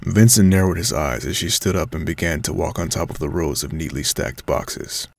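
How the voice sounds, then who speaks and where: A man's voice: a bass voice, moderate pace, gravelly, no room sound. A man reading scripted story narration as the narrator of an audio drama. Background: music.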